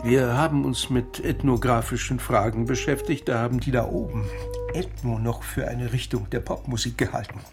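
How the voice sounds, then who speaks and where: A man's voice: medium pitch, fairly even intonation, moderate pace, lightly acted. Male actor as sacked editor in his fifties, aggrieved, wry, formal diction, radio crime drama, music underneath. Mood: wry, melancholy. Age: 50s. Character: bitter, lonely laid-off former editor-in-chief, man of fifty-four.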